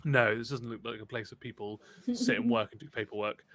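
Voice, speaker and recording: A man acting as game master, narrating a tabletop game in a halting, conversational way. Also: medium pitch, steady tone, fairly bright tone, no room sound.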